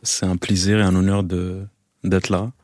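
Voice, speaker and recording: A man's voice: low-pitched, level tone, normal speed, a little mellow, no room sound, clean studio sound. A man in his thirties from Algeria, a guest photographer talking in a casual interview, hesitant with many fillers and restarts.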